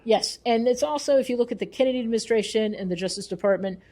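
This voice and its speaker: Woman researcher with a mellow, earnest delivery. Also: a little high, fast. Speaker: researcher and author being interviewed. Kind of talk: thoughtful interview talk.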